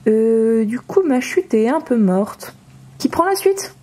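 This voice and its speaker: An actress playing an eager office employee who theatrically hosts horror stories in an audio comedy. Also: female voice, fairly high pitch, big pitch swings, takes it slowly, noisy background. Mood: chatty, organizing. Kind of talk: amateur audio comedy drama.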